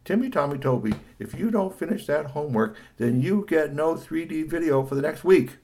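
A man's voice: fairly high pitch, lively intonation, moderate pace, darkish tone, pitched above the performer's own voice. A man voicing a practical, firm, no-nonsense mother in a children's story. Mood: stern.

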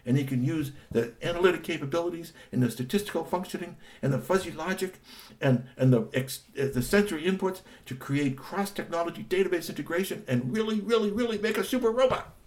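A man's voice: lowish voice, normal speed, darkish tone, close to an everyday voice. A man voicing an overexcited boy inventor in a told story, who gushes in long tumbling lists. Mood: thrilled. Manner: gushing, tumbling run-on lists.

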